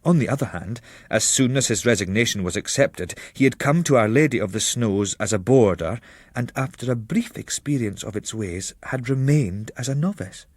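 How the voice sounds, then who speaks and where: A man reading a literary travel memoir as narrator, in a measured, expressive storytelling manner. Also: medium pitch, no room sound.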